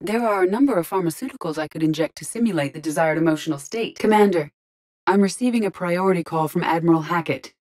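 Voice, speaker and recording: A woman voicing a calm, logical, curious female artificial intelligence in a video game, with precise, measured, formal delivery. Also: fairly low pitch, close to an everyday voice. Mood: composed, analytical. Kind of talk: video game character voice lines.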